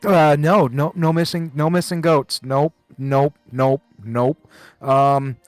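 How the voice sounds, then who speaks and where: A man's voice: a little high, fairly even intonation, takes it slowly, darkish tone, no room sound. A man voicing a shifty, evasive, teasing goat owner in an improvised comedic fan dub of a fantasy game, with stammering, repetitive delivery. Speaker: amateur live fan-dub voice actor. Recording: background music under the voice.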